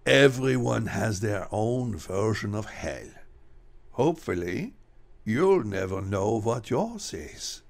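Man, radio drama narrator, ominous, lively pitch, slightly low voice. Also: slow, gravelly.

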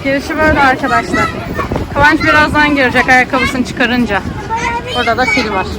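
A woman's voice: high voice, fairly even intonation, fairly quick. A woman giving casual, chatty vlog commentary and encouraging children along. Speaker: family vlogger and mother narrating an outing. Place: children's festival at an event venue. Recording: loud music and crowd noise behind. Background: music, busy festival crowd.

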